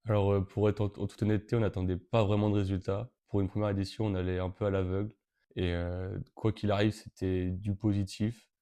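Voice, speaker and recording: Low, mellow-voiced young man. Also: flat delivery, fairly quick, clear, resonant tone. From Brittany, France. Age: teens. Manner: hesitant, frequent fillers and restarts. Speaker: interviewee, event co-organizer. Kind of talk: casual interview answers.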